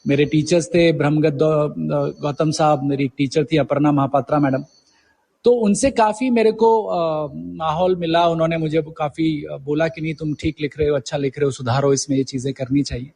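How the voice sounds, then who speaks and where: A man's voice: on the high side, steady tone, moderate pace, a little mellow, slightly rough. A middle-aged man reminiscing as an interview guest, telling stories and mixing in English words. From India. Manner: storytelling, mixes English words into Hindi.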